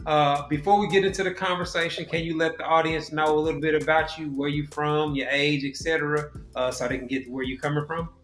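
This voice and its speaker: A man's voice: a little high, fairly quick, few pauses. A man hosting a casual podcast, talking loosely with plenty of fillers and restarts. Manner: loose, relaxed talk with many fillers and restarts. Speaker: podcast host. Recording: roomy echo with faint music.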